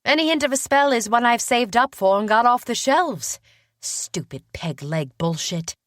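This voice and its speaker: Casting: female narrator as bubbly young British witch, high-pitched, girlish, self-deprecating and sassy, for a fantasy audiobook. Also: lively intonation, measured pace, a bit bright, no room sound, studio quality. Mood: pouting. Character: peppy, girlish young witch assistant, gullible and sweary.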